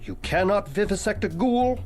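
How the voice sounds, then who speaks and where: A man voicing the ghost of a dead male school headmaster, formal and morbidly deadpan, now and then dropping into a whisper, in a video game cutscene with music behind. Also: high voice, moderate pace. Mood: wry.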